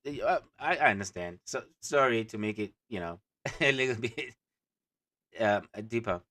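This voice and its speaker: A man's voice: medium pitch, expressive, moderate pace, pushed and bright. A man from Africa in casual conversation, speaking hesitantly and politely, with many fillers and self-corrections.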